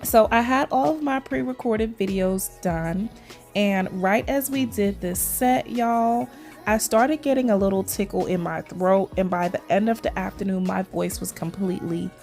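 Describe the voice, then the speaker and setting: A woman's voice: medium pitch, normal speed, darkish tone, faint music behind. A woman from Trinidad doing a casual, chatty voice-over for her nail video, calling viewers "y'all" all the time, her voice still a little scratchy from a sore throat. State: recovering voice, slight throat tickle. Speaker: nail vlogger.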